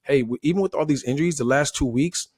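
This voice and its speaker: A man's voice: a little high, quick pace, darkish tone, no room sound, clean studio sound. An American man analysing football off the cuff as a former player, emphatic and often checking in with "right?".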